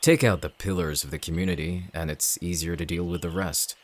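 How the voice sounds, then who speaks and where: A man playing a deep-voiced, coldly calculating villain. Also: steady tone, a little hiss or hum, natural voice. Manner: measured, menacing, smug. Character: cold, calculating male villain leading an anti-shifter operation. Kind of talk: dramatic audio roleplay acting.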